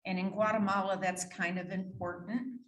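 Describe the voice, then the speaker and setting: A woman's voice: medium pitch, level tone, moderate pace. Chatty woman in an echoey room. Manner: chatty, self-deprecating. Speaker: club member introducing speaker. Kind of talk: introduction speech.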